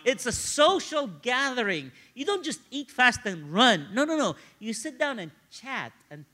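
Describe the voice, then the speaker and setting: A man, preaching in an animated, conversational storytelling style and repeating phrases for emphasis. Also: very high voice, unhurried. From Egypt, grew up in Cairo. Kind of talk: sermon with personal storytelling.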